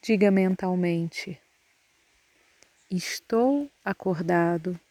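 A Brazilian woman guides a meditation as a yoga nidra instructor. Her voice is dark and relaxed, and she speaks very slowly, leaving long, soothing pauses. The sound is dry and close, with little room around it. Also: on the low side, no room sound. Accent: Brazilian Portuguese.

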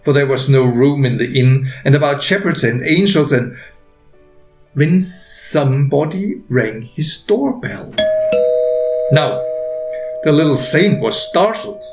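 A man narrating a written story in a deliberate, expressive way with pauses, as an author reading his own work. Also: medium pitch. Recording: roomy echo, faint music behind.

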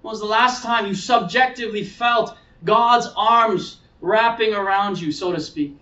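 A high-pitched male pastor delivers an earnest, explanatory sermon in a conversational way. He restates key phrases to drive them home. The sound is slightly dull and reverberant, picked up in a roomy space. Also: measured pace, pushed and bright, far from the mic. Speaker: pastor preaching.

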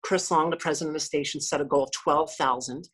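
Husky-voiced woman radio host chatting. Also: sits low, fairly even intonation, quick pace, a bit bright, poor audio. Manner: chatty, lots of restarts. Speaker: radio host, podcast guest. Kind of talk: casual interview talk.

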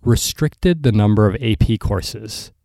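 A man hosting a podcast, reading a scripted intro and then interviewing a guest, with a measured manner and some hesitant restarts. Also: fairly low pitch, dark tone, no echo, clean studio sound. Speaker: podcast host.

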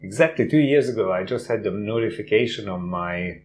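A middle-aged man who grew up in Hungary, speaking in a fairly low voice as a guest on a casual interview. He tells his story warmly and fills the pauses with "uh". A faint hum runs behind him. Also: expressive. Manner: warm storytelling with frequent "uh" fillers. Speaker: guest, runner and teacher.

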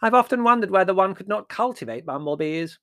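Dotty old woman, shrilly high, chirpy, oblivious, swooping intonation, storybook character, dry sound. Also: expressive, a little fast, bright, forceful tone, no echo. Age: older. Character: hard-of-hearing dotty old aunt, cheerful, rambling.

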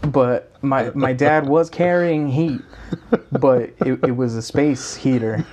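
A man's voice: mid-range voice, takes it slowly, a little mellow. A young man co-hosting a podcast, chatting casually in a rambling way, with many restarts and slang. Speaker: podcast co-host. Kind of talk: casual chat.

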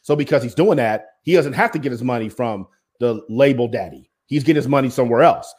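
A man with a deep voice, a former basketball coach, speaking bluntly and emphatically as an interview guest. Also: lively intonation, quick pace, a little mellow, slightly rough. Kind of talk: interview conversation.

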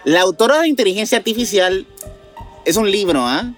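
A man with a notably high voice and a Mexican accent talks as a podcast co-host. He is informal and sometimes doubles back over his words. Music runs behind him. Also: expressive, a little fast. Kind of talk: casual news commentary on strange stories.

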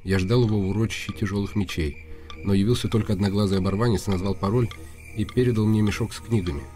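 A male actor as an impassioned young nobleman in a radio play, with a lowish voice that drives forward quickly. He is earnest, impatient and insistent, and music plays clearly behind him. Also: steady tone, warm tone, close to an everyday voice. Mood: grave, reporting. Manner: earnest, insistent, formal address. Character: passionate young nobleman, a secret observer, indignant and impatient. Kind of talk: radio drama acting.

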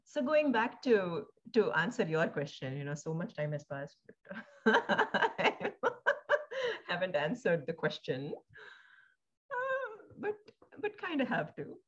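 A woman born in India and raised in Singapore, speaking thoughtfully as an interview guest, with frequent pauses, fillers and restarts. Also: lowish voice, wildly swooping pitch, highs cut off. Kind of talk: reflective conversational interview.

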